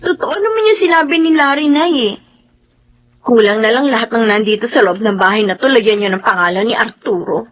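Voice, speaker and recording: A woman's voice: on the high side, expressive, fairly quick, fairly bright tone. An actress playing a long-suffering, loyal married daughter in a Tagalog radio drama, a young Filipino woman speaking earnestly. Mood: hurt. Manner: earnest, emotional. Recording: music under the voice.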